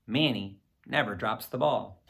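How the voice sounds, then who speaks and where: A man reading a children's story aloud in an expressive, boyish first-person narration. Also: lowish voice, a little slow, gravelly. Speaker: audiobook reader.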